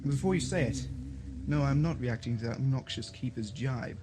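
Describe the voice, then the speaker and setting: A man's voice: mid-range voice, fairly quick, lightly acted. A man playing a blunt, sceptical, easily indignant commissioner in an audio drama. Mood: disgruntled. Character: wary, indignant male federation commissioner. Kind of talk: radio drama acting. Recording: noisy amateur 1980s audio drama tape.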